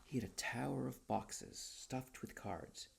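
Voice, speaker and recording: A man who is an author reading his own short story aloud in a dramatic storybook style, with a mellow voice. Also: mid-range voice, a little slow, creaky.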